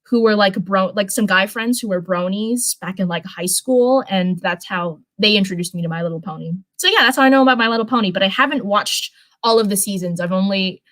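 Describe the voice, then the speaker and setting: A woman's voice: mid-range voice, fairly quick, few pauses, a bit bright. A young woman hosts a casual livestream. She reads stories aloud and slips into rambling, informal commentary. She often backs up to fix what she has just said. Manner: rambling, informal, frequent self-corrections. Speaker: livestream host reading fan fiction. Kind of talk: casual livestream chat and story read-aloud.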